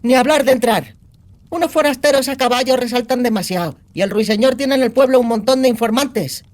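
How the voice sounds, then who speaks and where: A woman's voice: fairly high pitch, a little fast, a little mellow, close to an everyday voice. A woman as a brash, streetwise outlaw girl. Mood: brash, scheming. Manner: crude, sardonic, slangy. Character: brash, foul-mouthed young outlaw girl, cynical and streetwise. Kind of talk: acted audiobook dialogue.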